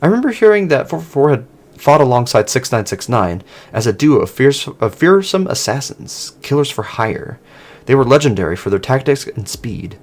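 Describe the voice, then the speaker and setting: A man voicing an earnest informant in online horror fiction, recounting old rumors in a measured way. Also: medium pitch, big pitch swings.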